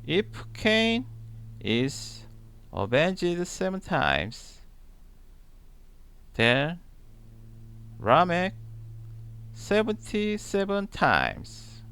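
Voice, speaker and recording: Very slow, halting male Bible reader. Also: high-pitched, wildly swooping pitch, gravelly, a bit bright, clean tone. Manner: halting, phrase by phrase with long pauses. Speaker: Bible reader, channel host. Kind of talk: slow read-aloud of scripture.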